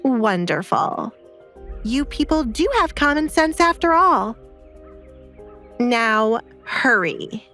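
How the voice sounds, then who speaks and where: A woman's voice: fairly high pitch, animated, slow, pauses often, pushed and bright, soft background music, pitched above the performer's own voice, full character voice. A woman voicing a spoiled, vindictive young noblewoman with an imperious, scornful manner in an audio drama.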